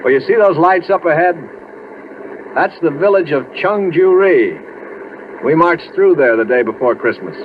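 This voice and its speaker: An American man playing a weary, haunted war veteran who tells his story in a reflective, deliberate radio drama style. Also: on the high side, lively intonation, a little hiss or hum, natural voice. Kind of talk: vintage radio drama acting and narration. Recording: muffled vintage radio recording, music behind.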